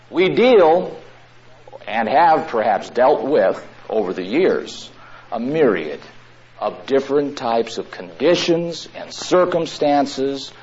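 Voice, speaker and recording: Male preacher giving a sermon, slow drawn-out words, pitch swooping up and down, muffled lo-fi sound. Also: a little mellow, a little hiss or hum. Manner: slow, drawn-out phrasing with long pauses. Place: room with listeners. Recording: dull lo-fi recording.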